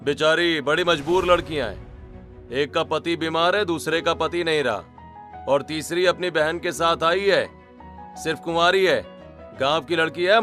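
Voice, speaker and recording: A man's voice: a little high, sharp tone. Man playing a pleading, deferential property broker. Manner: deferential, persuasive. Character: pleading male property broker vouching for tenants. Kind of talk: acted Hindi story dialogue.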